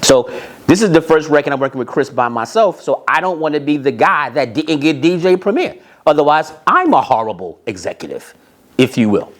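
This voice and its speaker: A man telling anecdotes in an animated, emphatic way, repeating phrases and acting out bits of dialogue. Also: a little high, a little fast. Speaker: storyteller, music industry executive.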